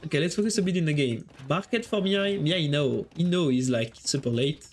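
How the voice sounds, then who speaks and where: A man's voice: fairly high pitch, fairly quick. A man with a French accent casting a strategy game on a live stream, chatting with viewers between plays. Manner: chatty, answers chat while casting.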